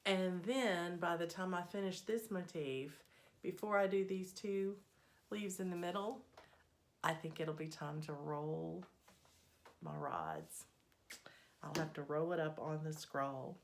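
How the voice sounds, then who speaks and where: Woman vlog host, low voice slightly scratchy from a cold, easygoing and friendly. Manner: unhurried, friendly, lots of ums. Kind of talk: casual chatty progress update.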